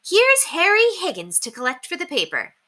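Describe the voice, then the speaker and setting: A kindly storybook neighbour lady, voiced by a woman reader, trills in a very high, bright voice. She is chirpy, sweet and gracious. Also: huge pitch swings, normal speed, full character voice.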